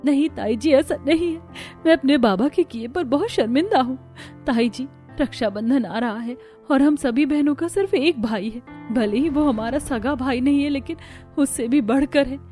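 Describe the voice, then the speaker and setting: A woman voicing a gentle, dutiful young sister in a cartoon story, earnest, polite and apologetic. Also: sits high, moderate pace, soft background music, close to an everyday voice. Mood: pleading. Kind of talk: Hindi cartoon story acting.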